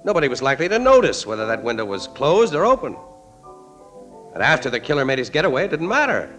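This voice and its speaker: An actor playing a wry, brooding newspaper crime photographer with clipped, slangy talk in a 1940s radio drama. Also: male voice, mid-range voice, sing-song, fast talker, fairly bright tone. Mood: puzzled, intent. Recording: old radio recording, organ music.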